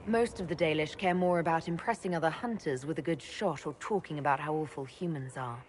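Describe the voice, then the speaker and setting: A woman's voice: sits low, fairly quick, darkish tone, long reverb tail, slight background noise, natural voice. An actress playing a composed female elven mage leader in a video game, dry and direct in manner. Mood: composed, wry. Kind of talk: dramatic video game acting.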